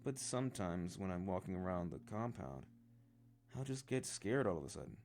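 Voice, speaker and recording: A man voicing a bright, worried young village girl. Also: low voice, big pitch swings, moderate pace, soft background music. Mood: anxious.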